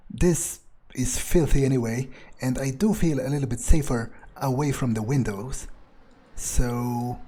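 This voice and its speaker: Mellow, husky man musing in character. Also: mid-range voice. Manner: musing, hesitant, self-interested, sweary. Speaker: video game player narrating in character as a vampire. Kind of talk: in-character roleplay playthrough, thinking aloud.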